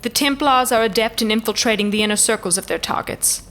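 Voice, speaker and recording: Woman acting a stately, high-voiced elven lady commander. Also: fast, fairly bright tone, close to an everyday voice. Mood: formal, commanding. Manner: formal, stately, theatrical oratory. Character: noble elven lady commander, grave, calculating orator. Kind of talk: fantasy audio drama acting.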